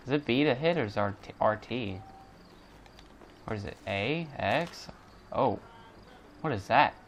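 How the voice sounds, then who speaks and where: A man's voice: medium pitch, animated, measured pace, slight background noise. A man giving casual, rambling commentary while he plays a video game. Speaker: gamer recording a playthrough.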